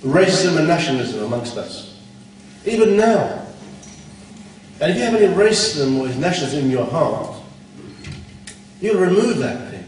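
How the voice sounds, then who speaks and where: A man's voice: a little high, big pitch swings, moderate pace, long pauses, a little mellow, slight background noise. A man giving a blunt, scolding Islamic talk at a conference, full of rhetorical questions. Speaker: conference speaker. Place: conference hall. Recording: echoey hall from far off.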